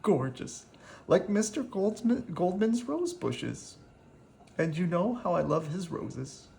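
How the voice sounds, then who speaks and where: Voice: mid-range voice, sing-song, takes it slowly, vocal fry, dark tone, voice pushed up, exaggerated voice. A reader playing an effusive, kindly older woman. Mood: tender, delighted. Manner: effusive, exclaiming, tender.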